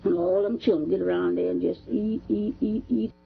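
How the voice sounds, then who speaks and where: Husky, creaky Texan woman in her nineties. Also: fairly low pitch, fairly even intonation, moderate pace. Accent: Texas. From Texas, United States. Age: 90s. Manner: folksy, repetitive phrasing. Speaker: interviewee recounting memories. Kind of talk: oral history interview. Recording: muffled old archival interview recording.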